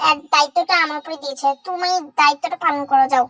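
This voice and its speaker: A woman putting on the voice of a bossy female game character who hands out quick orders. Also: sits high, fairly even intonation, pushed and bright, dry recording.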